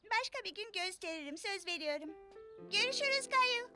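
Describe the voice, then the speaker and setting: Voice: very high-pitched, expressive, moderate pace, loud music behind the voice. A performer voicing a confident, helpful little girl in a children's cartoon dub, explaining things in a matter-of-fact childlike way. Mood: friendly, explaining.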